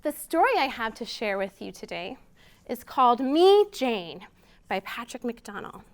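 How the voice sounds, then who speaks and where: A woman's voice: on the high side, big pitch swings, measured pace. A woman hosting a storytime for young children, reading aloud expressively and prompting them with simple questions. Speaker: children's storytime host at a library.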